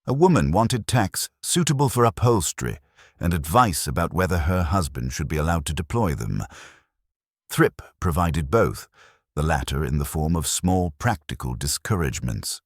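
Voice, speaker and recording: A man narrating a literary mystery story in a measured, wry, formal manner. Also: rumbling low, breathy edge, dry recording, studio quality.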